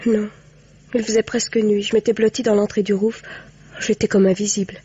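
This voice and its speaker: A woman's voice: mid-range voice, little pitch movement, brisk, warm tone, slightly rough. A woman playing a frightened, guilt-ridden young woman in a French radio mystery, hesitant and pleading in a melodramatic old-fashioned style. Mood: subdued confiding. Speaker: actress in a radio mystery play. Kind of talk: 1950s French radio drama acting. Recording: muffled old radio recording.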